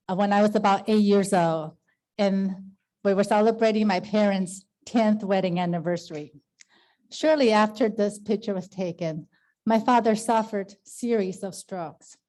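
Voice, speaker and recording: A middle-aged woman from Taiwan speaks English, her tongue. Her voice is mellow and warm, pitched at an ordinary height for a woman. She presents graciously over a video call, thanking her audience again and again. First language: Mandarin Chinese. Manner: gracious, laughs often, thanks repeatedly. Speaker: guest speaker, Rotary district governor. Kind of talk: slide presentation to a club.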